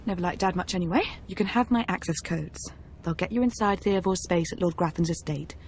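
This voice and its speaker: An actress playing a flippant, spoiled young rich daughter in a video game, sassy and casual. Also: female voice, lowish voice, brisk, a little mellow, a little hiss or hum, close to an everyday voice. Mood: nervous, resigned. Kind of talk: video game dialogue acting.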